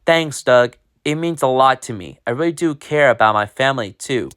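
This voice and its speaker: A reader voicing an earnest young schoolboy in a homemade spoken story, pitched well above their own voice. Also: animated, moderate pace, fairly bright tone, clear, resonant tone, natural voice. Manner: earnest read-aloud delivery. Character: excited young boy, proud and loyal.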